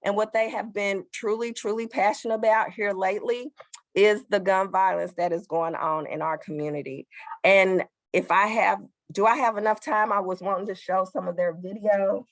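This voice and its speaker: A woman's voice: mid-range voice, fairly even intonation, moderate pace, dry recording. A woman teacher speaking at a community forum, enthusiastically praising her students' work and repeating words for emphasis.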